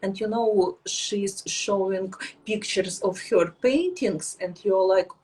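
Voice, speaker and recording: A woman's voice: mid-range voice, a little slow, a little mellow, breathy edge, poor audio. A woman co-hosting a spirituality livestream, talking informally to live listeners and acting out what other people say. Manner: acts out other people's remarks in quoted dialogue.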